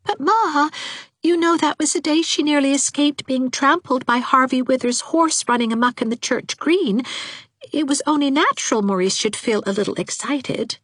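A woman voicing a meek, gentle grown daughter in a storybook, pleading and soothing with resignation. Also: sits high, normal speed, no echo, pitched above the performer's own voice.

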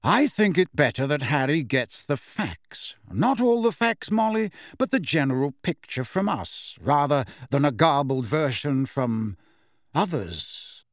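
A man playing a mild, reasonable but firm man in a storybook, measured and diplomatic. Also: a little high, very animated, no echo, studio quality.

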